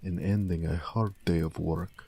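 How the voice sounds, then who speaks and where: Male actor as a tender orc blacksmith, low dark voice, mellow, soft-spoken, wistful, romantic audio drama. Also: steady tone, creaky, slightly rough, full character voice. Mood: wistful, hushed. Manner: soft, measured, tender delivery with hushed moments. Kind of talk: intimate romantic audio roleplay.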